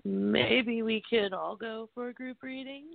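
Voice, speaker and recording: A woman playing a gentle, peace-loving friend in a comic radio play, placating and unhurried, on a tinny recording. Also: on the high side, steady tone, gravelly, no echo. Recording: tinny, narrow phone-like sound.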